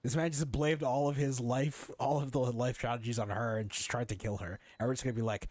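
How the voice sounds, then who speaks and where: A man's voice: mid-range voice, fairly even intonation, fairly quick, a little mellow, dry recording. A man giving casual, blunt and sarcastic playthrough commentary with swearing, game music underneath. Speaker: gamer reacting to a story quest.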